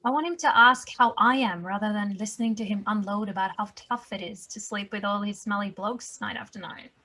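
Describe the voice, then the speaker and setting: Very bright-voiced wry mother played by a woman. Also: mid-range voice, fairly quick, poor audio. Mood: wry, guarded. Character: Sam, wry, sociable mother at her son's party. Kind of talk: table read of a screenplay.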